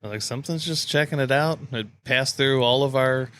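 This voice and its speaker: A man's voice: medium pitch, normal speed, a bit bright, clear, resonant tone, no room sound. A North American man co-hosting a casual chat show, talking in a laid-back way with wry interjections. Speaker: podcast co-host.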